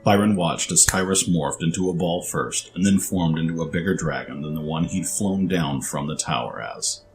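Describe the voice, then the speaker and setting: A man's voice: sits low, normal speed, over music, low-quality recording. A man narrating a scripted fantasy story in a dramatic style.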